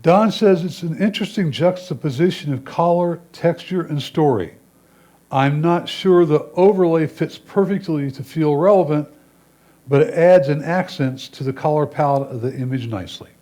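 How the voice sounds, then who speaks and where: A man's voice: on the high side, normal speed, dark tone. A man reading written photo critiques aloud at a club competition. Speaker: reader of judges' critiques.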